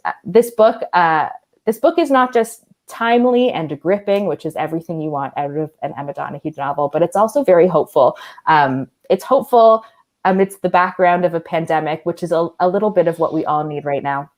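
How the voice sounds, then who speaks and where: A woman speaking as a library event host, introducing guests at an online event. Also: low-pitched.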